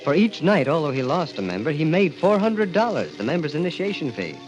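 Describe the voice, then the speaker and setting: An actor playing a haunted, thrill-addicted man who tells his own dark story in intense, confessional 1940s radio style. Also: a little high, big pitch swings, a little fast. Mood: bitter, compelled. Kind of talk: 1940s radio acting, first-person narration. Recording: dull old radio recording. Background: dramatic music.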